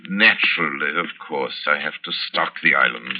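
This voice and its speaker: An actor playing a suave, boastful foreign general and big-game hunter with quiet menace, in courtly, theatrical golden-age radio drama style. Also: male voice, a bass voice, a little hiss or hum. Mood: boastful, menacing. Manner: courtly, theatrical, drawn-out emphatic phrasing. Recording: muffled vintage radio recording.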